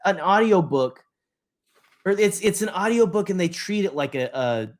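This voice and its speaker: A man co-hosting a podcast in casual fan discussion, talking in a rambling, halting way and often rephrasing mid-sentence. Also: high-pitched, expressive. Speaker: podcast co-host.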